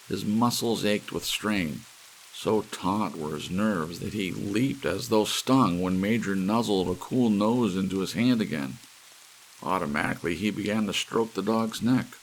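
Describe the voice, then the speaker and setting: A man's voice: low-pitched, a little slow, low-quality recording. A man narrating a science fiction story as an ASMR audiobook. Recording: faint hiss with soft rain. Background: soft rain.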